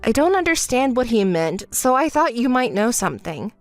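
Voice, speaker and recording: A woman voicing a calm, fed-up young woman who answers in a level, dry, scolding way in a dramatized story. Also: on the high side, moderate pace, dry recording, faint music behind, pitched below the performer's own voice. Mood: cool.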